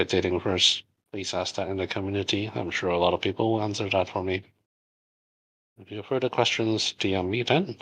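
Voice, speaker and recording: A middle-aged man from Hong Kong, a native Cantonese speaker, answers questions in a loose, halting way, full of fillers and restarts. His voice sits a little low but sounds bright and forward. It has a husky, slightly rough edge. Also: poor audio. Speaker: game studio founder answering community questions. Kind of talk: casual AMA, reading and answering questions.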